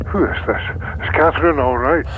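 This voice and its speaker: A worried father played by a man speaks haltingly in this radio drama. He sounds distant and muffled, with very loud noise over the call. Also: on the high side, lightly acted. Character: anxious father phoned by his daughter's kidnapper.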